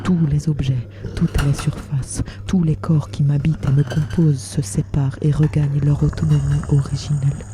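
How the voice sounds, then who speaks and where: A woman narrating literary audio fiction in measured short phrases, with a hushed, breathy voice. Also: low voice, level tone, background noise. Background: music.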